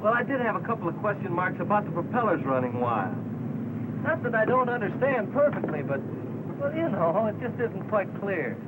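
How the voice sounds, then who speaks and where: A young American army pilot trainee, eager and a bit sheepish, in an old 1940s training film. His pitch is high and lively, and he asks things hesitantly. The soundtrack is very noisy. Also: moderate pace. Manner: hesitant, deferential questioning.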